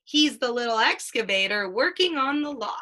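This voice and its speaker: A mother reading a children's picture book aloud, with sing-song storytelling and drawn-out sound words. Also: on the high side, lively intonation, bright, forceful tone. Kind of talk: children's read-aloud with questions.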